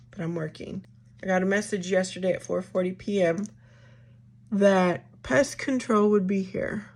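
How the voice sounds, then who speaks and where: A woman in her thirties with a raspy voice, chatting casually to viewers at home in a rambling, friendly way. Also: medium pitch. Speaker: vlogger. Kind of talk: casual get-ready-with-me chat.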